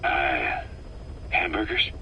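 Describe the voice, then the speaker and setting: An actor playing a sardonic, informal military commander in casual conversation for a video game. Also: male voice, a bass voice, animated, slow pace, creaky, lo-fi sound, a little hiss or hum, full character voice. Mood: amused, puzzled. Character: wry, gruff male military commander, informal.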